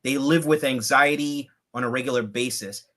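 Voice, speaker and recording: A man in his fifties talking on a video call, building his points in a measured, deliberate way. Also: medium pitch, pushed and bright. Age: 50s. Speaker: guest. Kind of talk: reflective livecast conversation.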